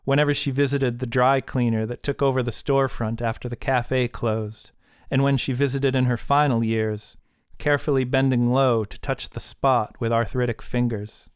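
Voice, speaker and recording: A man's voice: medium pitch, steady tone, moderate pace, smooth, no echo, studio quality. A man reading a short story as scripted audiobook narration.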